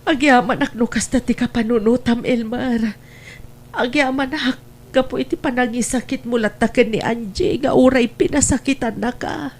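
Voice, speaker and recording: A woman's voice: on the high side, normal speed. A woman acts an older provincial mother in a family radio drama. She speaks in an anxious, lamenting way, like a parent fretting over a child.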